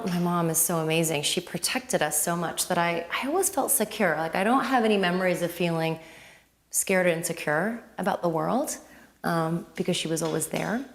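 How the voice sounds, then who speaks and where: A woman from Lebanon with a Lebanese accent, raised speaking Arabic, a guest telling her story in a reflective, casual way with fillers and restarts. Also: fairly low pitch. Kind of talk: casual conversational interview.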